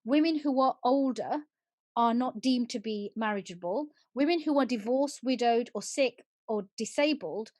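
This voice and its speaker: A woman hosts a live panel and poses careful questions to her guests. Her voice sits a little high. The sound is dry and close. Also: a little mellow, dry recording. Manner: measured, questioning, quotes comments. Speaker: host.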